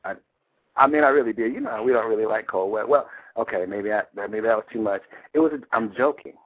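Low, husky co-host on a phone line. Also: male voice, fast talker. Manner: playful, joking, laughs a lot. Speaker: radio co-host. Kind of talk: casual call-in radio chat.

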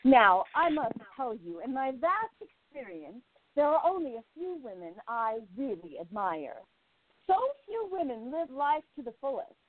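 A woman's voice: high voice, dry recording, narrow, tinny audio, full character voice. A woman playing a flirtatious, catty 1920s gossip columnist in a radio play, arch and theatrical, savoring her words. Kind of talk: radio play narration and dialogue.

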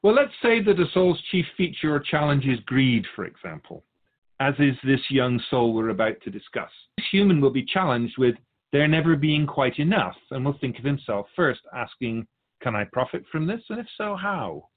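A man playing a patient, lofty spirit guide who teaches in measured, formal phrases in a scripted audio drama. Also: mid-range voice, sing-song, narrow, tinny audio. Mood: grave, instructive. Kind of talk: scripted dialogue in a fiction podcast.

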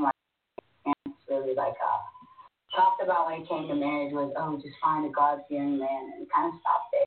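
A woman's voice: low voice, slight background noise. A woman, born in North Sudan, calling a talk show and speaking casually, thoughtfully and hesitantly. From born in North Sudan, moved to the States at six. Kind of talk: casual, reflective conversation. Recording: tinny, roomy phone line, distant.

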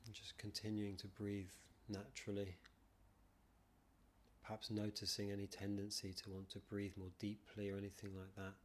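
Male meditation guide, lowish voice, flat even tone, calm, measured, long pauses. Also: a little hiss or hum. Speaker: meditation teacher, podcast guest. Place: non-soundproofed room.

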